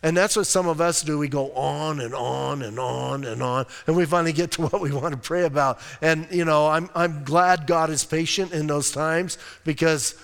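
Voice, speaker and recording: A male pastor preaching a conversational, emphatic sermon. He asks rhetorical questions and reads scripture slowly and deliberately. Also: on the high side, fairly even intonation, gravelly, a bit bright, smooth. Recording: reverberant hall sound.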